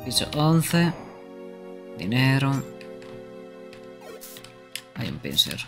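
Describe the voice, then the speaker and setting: A man's voice: medium pitch, very animated, extremely slow, gravelly. A young man giving casual live gameplay commentary as a streamer. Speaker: game streamer. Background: loud game music.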